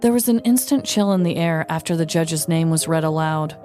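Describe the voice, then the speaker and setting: A woman hosting a podcast, reading a comedic true-crime story with wry, deadpan delivery. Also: deep voice, brisk, soft background music.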